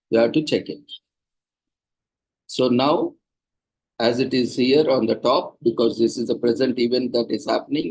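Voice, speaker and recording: A man's voice: medium pitch, darkish tone, breathy edge, low-quality recording. A man organizing a seminar, patiently explaining procedures to participants over a video call. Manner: patient, instructive, plain and practical.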